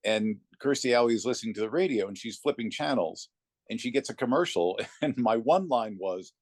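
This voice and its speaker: A man's voice: medium pitch, expressive, fairly quick, no echo, clean studio sound. A middle-aged or older man, a voice actor, telling anecdotes casually as an interview guest.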